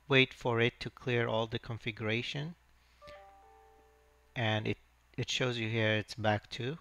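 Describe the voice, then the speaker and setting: A man hosting his own channel, talking through a screen-recorded networking tutorial in a halting way with many fillers. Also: fairly low pitch, a bit bright, no echo. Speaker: channel host and networking instructor. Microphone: voice-over on a screen recording.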